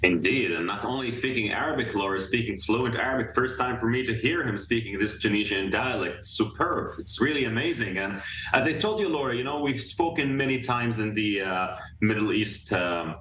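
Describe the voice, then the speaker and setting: A man joins a live news show as guest analyst over a remote video link, and his voice comes back echoey and distant, with a faint hiss underneath. He talks earnestly and piles up fillers and restarts. When he presses a point he lifts his pitch. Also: moderate pace, sharp tone. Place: room in another city.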